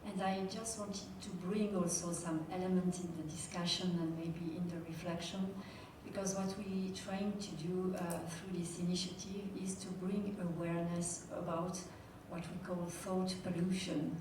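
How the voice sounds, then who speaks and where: Woman audience member, lowish voice, reflective, heard from afar in a reverberant room. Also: flat delivery, moderate pace. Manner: earnest, reflective, some hesitation. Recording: echoey hall, distant mic, background noise.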